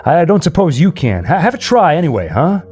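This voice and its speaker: Man acting a jittery, rambling man, high-pitched and animated. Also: breathy edge, soft background music, full character voice. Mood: jumpy, nervous. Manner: nervous, halting, talks straight to the listeners. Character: jittery, rambling man alone in a haunted house. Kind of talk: old-time horror radio play monologue.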